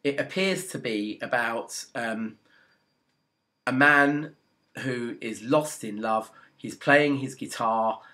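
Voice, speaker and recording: A man's voice: medium pitch, takes it slowly, bright, forceful tone. A man talking casually to his viewers about a record, rambling with lots of ums and restarts. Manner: chatty, hesitant, many ums and restarts. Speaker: music video blogger. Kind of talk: casual rambling talk to camera.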